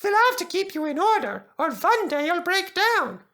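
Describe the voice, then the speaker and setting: Squeaky, cheeky little engine. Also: male voice, very animated, moderate pace, pushed and bright, heavily acted. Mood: teasing. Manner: teasing, pert. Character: cheeky young male little steam engine.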